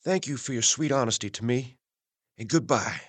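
An actor playing a hearty, gallant young gentleman adventurer, warm and earnest, reading in an audio drama. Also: medium pitch, normal speed, slightly rough, dry recording, close to an everyday voice. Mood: tender, sincere.

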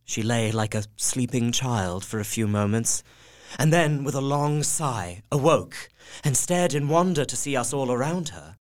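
A man's voice: medium pitch, lightly acted. An actor playing a devoted, anxious young husband narrating his journal in an audio drama, earnest and heartfelt.

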